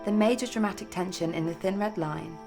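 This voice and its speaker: A woman's voice: mid-range voice, a little fast, music in the background. A woman reading a scripted video essay as its narrator.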